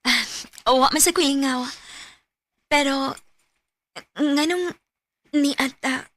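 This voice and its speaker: A woman's voice: high voice, takes it slowly, fairly bright tone, dry recording. An actress playing a shy married woman in a secret affair, speaking hesitantly with a Cebuano Filipino accent in a radio drama. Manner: hesitant, coy. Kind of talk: radio drama acting in Bisaya.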